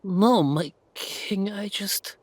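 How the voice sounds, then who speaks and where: A man's voice: high voice, extremely slow, no room sound, voice pushed up, full character voice. A man acting a cowardly, grovelling duke in an audio roleplay, stammering and pleading in terror. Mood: terrified grovelling.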